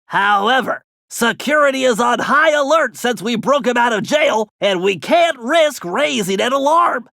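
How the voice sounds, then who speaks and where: Game gadget expert: very high, forceful, nasal, bookish and eager, precise planner, dry close recording. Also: male voice, expressive, a little slow, creaky, few pauses, no room sound, exaggerated voice. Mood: precise, briefing. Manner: precise, wordy, bookish briefing. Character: nerdy male brainy gadget expert of a thief gang, eager planner. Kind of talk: animated video game character voice acting.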